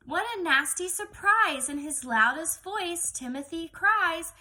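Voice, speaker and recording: A woman's voice: very high voice, lively intonation, measured pace, bright, forceful tone. A woman teacher reading aloud to young children in an exaggerated, singsong storytelling manner, often putting questions to them.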